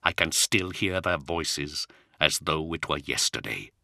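A man voicing a weary, brooding commander of knights in an audiobook, with a rough, worn voice and a slow, grave delivery. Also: fairly low pitch, animated, sharp tone, no room sound, studio quality, exaggerated voice. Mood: brooding, haunted. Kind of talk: audiobook character acting.

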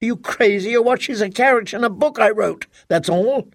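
A defensive novelist husband argues hotly, exasperated and sharp. A man voices him in an audiobook, pitched a little above his own voice. The recording is dry and close. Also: a little fast, no echo. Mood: angry. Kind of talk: audiobook character voice.

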